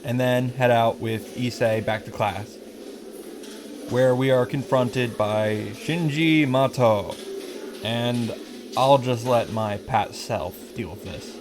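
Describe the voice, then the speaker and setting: A man's voice: mid-range voice, slow, background noise. A man recapping a visual novel as commentary, with sarcastic, sweary, exclamatory asides. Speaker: gaming YouTuber. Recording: music playing behind the voice.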